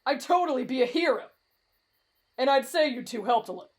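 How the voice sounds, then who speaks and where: A woman's voice: high-pitched, lively intonation, brisk. A woman voicing a brash, cocky young man with a morbid streak and a blunt, boastful manner in an anime fan audio roleplay.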